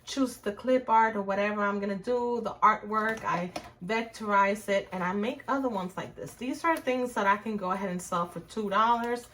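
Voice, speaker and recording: Middle-aged Puerto Rican woman hosting a home crafts tutorial; friendly, chatty delivery at an average pitch, with a little noise behind her. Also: moderate pace, few pauses. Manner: chatty, narrates her hands-on work to viewers. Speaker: crafts channel host.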